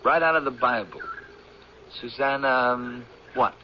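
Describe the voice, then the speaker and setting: A man's voice: medium pitch, unhurried, close to an everyday voice. A man playing a polite, curious young college professor in a radio drama, courteous and a little hesitant. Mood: friendly, hesitant. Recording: muffled, noisy old radio broadcast.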